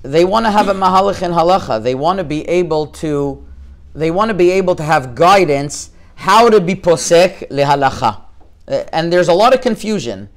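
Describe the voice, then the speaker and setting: Halting, smooth-voiced male rabbi lecturing. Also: a little high, animated. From Canada. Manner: halting, many restarts, sprinkled with Hebrew terms. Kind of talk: informal Torah lecture.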